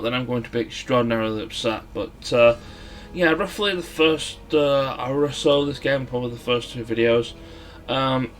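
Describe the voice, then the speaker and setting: A man with a mid-pitched voice gives casual gameplay commentary as a Let's Play host. He rambles hesitantly and corrects himself as he goes. Game music plays faintly under his voice.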